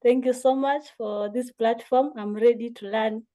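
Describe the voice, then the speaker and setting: A woman's voice: a little high, steady tone, moderate pace, dark tone, no room sound. A woman introducing herself to an online class, eager and grateful. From Kenya. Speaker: class participant. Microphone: video call.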